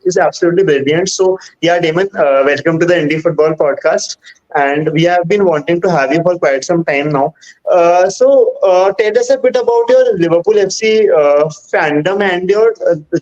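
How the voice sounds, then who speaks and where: This is a man with an Indian accent and a fairly high voice. He hosts in a casual, halting way, feeling his way through questions. The audio is somewhat dull and lo-fi. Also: darkish tone. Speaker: podcast host. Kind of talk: casual interview.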